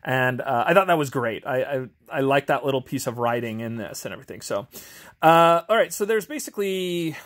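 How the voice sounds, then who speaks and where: A man's voice: medium pitch, expressive, brisk, a bit bright. A man chatting casually and unscripted as an amateur reviewer, in an informal, hesitant, self-deprecating way. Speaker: amateur video reviewer and tabletop game master. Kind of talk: casual, unscripted chat while reviewing a game book. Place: home garage.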